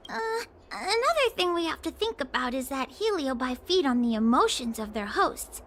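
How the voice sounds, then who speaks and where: Very high-voiced, timid young game girl. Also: very animated, normal speed, fairly bright tone, a little hiss or hum, heavily acted. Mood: hesitant, concerned. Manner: hesitant, careful, polite. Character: timid, conscientious young girl, anxious but thoughtful.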